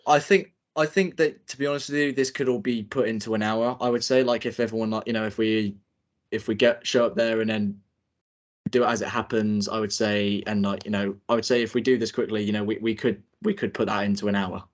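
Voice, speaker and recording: Hesitant young man, slightly low voice. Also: fairly even intonation, fairly quick. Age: young adult. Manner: hesitant, full of fillers and restarts. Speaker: student film director. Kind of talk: casual planning call.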